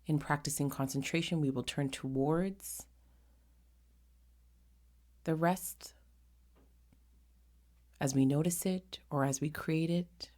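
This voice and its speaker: A woman with a low, mellow voice guides a meditation. She speaks very slowly and gently, leaving frequent pauses between short phrases. The delivery is soft-edged and unhurried. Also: fairly even intonation.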